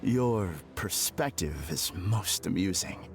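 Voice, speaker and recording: An actor playing an eerily calm, courteous villain in a video game, formal, measured and grandiose. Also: male voice, low voice, gravelly, heavy background noise, exaggerated voice. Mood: coolly amused. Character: eerily calm, courteous male villain, serenely self-righteous. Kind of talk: video game character acting. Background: background music.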